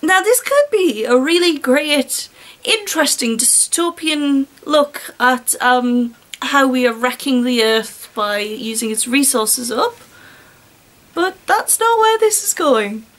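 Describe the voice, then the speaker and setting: High-voiced woman reading with wry asides. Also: lively intonation, slow pace, a bit bright. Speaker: storyteller and commentator reading online fiction aloud. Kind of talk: story reading with casual mocking commentary.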